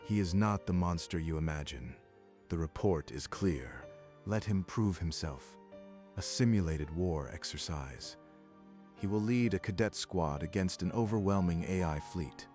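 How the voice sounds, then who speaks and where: A man voices a composed male headmaster in a manga dub. His voice is very deep, and he speaks in a measured, authoritative way. Faint music plays behind him. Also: expressive, darkish tone.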